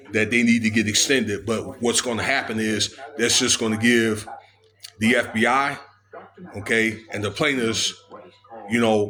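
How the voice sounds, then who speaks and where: Slow, halting American man with low voice. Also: fairly bright tone. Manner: halting, with frequent checking tags. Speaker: video commentator. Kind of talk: informal unscripted legal news commentary.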